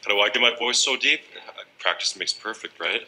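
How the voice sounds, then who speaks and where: A young man in an archive TV interview and audition, talking in a vain, halting way that is full of "like". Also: medium pitch, a crowd in the background, poor audio. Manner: vain, filler-heavy, halting with lots of "like". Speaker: casting contestant.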